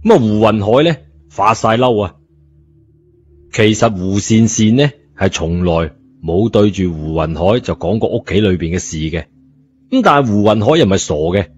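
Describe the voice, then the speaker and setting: A man's voice: on the low side, darkish tone. A man narrating a story in a colloquial scripted style, in a dull recording. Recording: dull lo-fi audio, music behind.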